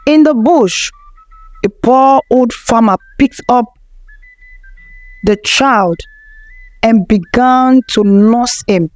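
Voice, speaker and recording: A woman's voice: fairly high pitch, slow, pauses often, a little mellow, no echo. A woman narrating a folktale from a script as a storyteller.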